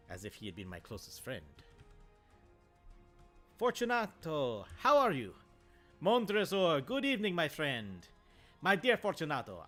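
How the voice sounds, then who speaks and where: A man's voice: medium pitch, fairly bright tone. A man putting on an Italian nobleman bent on revenge. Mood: falsely cordial. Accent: put-on Italian. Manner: measured, theatrical narration. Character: scheming vengeful Italian nobleman, proud. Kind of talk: dramatic reading aloud.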